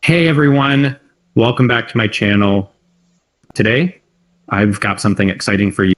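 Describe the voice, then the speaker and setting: A man's voice: lowish voice, slightly rough. A text-to-speech male voice reading a script that opens a video channel. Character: upbeat male youtuber introducing a video. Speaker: generated demo voice. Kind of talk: youtuber channel intro script.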